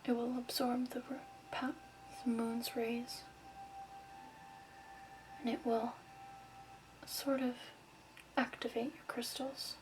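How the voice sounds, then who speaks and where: A woman doing an ASMR shopkeeper roleplay in a soft, breathy, whispered voice, gently instructing. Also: fairly high pitch, little pitch movement, takes it slowly, pauses often, background noise. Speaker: ASMR performer playing a crystal shop keeper.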